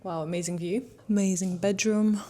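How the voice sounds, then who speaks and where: A woman's voice: mid-range voice, fairly even intonation, normal speed, mellow. A woman hosting a casual vlog, commenting and asking questions in an enthusiastic, admiring way. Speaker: host and interviewer.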